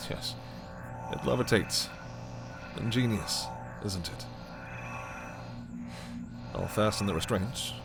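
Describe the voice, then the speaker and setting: A man playing a gentle, authoritative alien doctor in a sci-fi ASMR roleplay, speaking in a measured, formal and reassuring way. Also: lowish voice, vocal fry. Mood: calm, careful. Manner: measured, formal, reassuring, soft-spoken asides. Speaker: voice performer.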